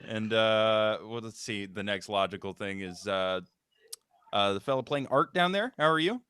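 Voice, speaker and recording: A man's voice: lowish voice, moderate pace, bright, forceful tone, smooth, no room sound, studio quality. A man hosting a casual live stream in a joking, rambling way. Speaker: host and script writer.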